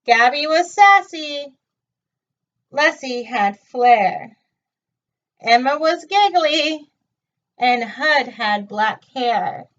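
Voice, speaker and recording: A woman reading a children's picture book aloud with an expressive, singsong delivery, in a dull, distant recording. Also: high-pitched, drawn-out words, pauses often. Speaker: storytime reader.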